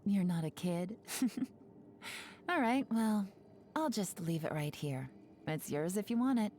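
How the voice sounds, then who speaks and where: A woman voicing a sly, laid-back young woman spy for a video game, speaking in a smooth, teasing, unhurried way. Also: medium pitch, animated, a little mellow, slight background noise. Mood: playful, casual. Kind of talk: video game character voice lines.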